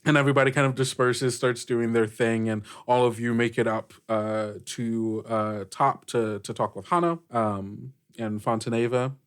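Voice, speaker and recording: A man of middling pitch runs a tabletop roleplaying game. He talks informally and emphatically, working out his thoughts aloud as he goes. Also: steady tone, normal speed, hardly any pauses, clean tone. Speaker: game master. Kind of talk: casual tabletop roleplay discussion.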